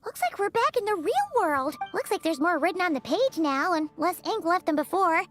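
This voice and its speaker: Tiny chirpy game fairy, super high squeaky voice, bouncing all over the place. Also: female voice, sing-song, moderate pace, a bit bright, soft background music, exaggerated voice. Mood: chatty. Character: small floating fairy companion, chirpy and excitable.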